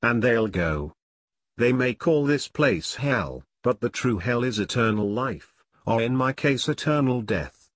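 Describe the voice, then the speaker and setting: Man playing a mournful, dramatic Grim Reaper, swooping pitch. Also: expressive, unhurried, no room sound, clean studio sound. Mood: brooding, mournful. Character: the Reaper, sad immortal lord of the dead. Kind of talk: dramatic storytelling podcast.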